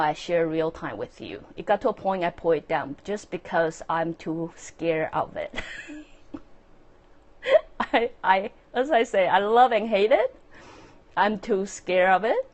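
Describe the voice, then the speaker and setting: Chinese-accented woman, low voice. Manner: earnest, rambling, with frequent fillers and soft laughs. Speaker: podcast host and author. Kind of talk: casual solo talk to followers.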